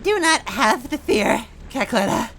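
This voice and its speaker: A frantic storybook henchman with a high, gravelly squeal. He wheezes through mangled grammar, calling himself by name, and his pitch swoops wildly. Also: big pitch swings, measured pace, bright, forceful tone, slight background noise, full character voice. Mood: devoted, determined. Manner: broken grammar, speaks of himself in third person. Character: frantic, wheezing villainous minion with fractured grammar.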